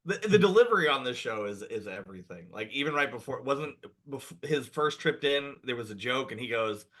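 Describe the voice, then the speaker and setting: A man's voice: medium pitch, animated, fairly quick, fairly bright tone. A man co-hosting a podcast, upbeat, retelling lines from his notes. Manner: reads quotes from notes, upbeat. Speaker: podcast co-host. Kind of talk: casual chat.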